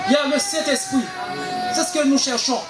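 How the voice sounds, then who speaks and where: A man's voice: very high-pitched, steady tone, fairly quick, reverberant, over music, crowd noise. A man preaching as a guest, exhorting the congregation and punctuating his phrases with amens. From Haiti. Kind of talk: sermon with call and response.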